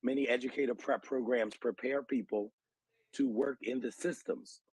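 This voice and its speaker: Husky American man explaining earnestly. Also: mid-range voice, fairly even intonation, normal speed, darkish tone, dry recording, rough recording. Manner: earnest, articulate advocate citing research and figures. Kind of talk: explanatory interview answers.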